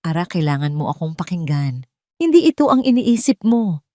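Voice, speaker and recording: A woman's voice: fairly low pitch, very animated, normal speed, mellow, no echo, studio quality. A woman voicing an anxious, evasive young husband who tries to placate others in an audio drama. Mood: pleading. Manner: placating, uneasy.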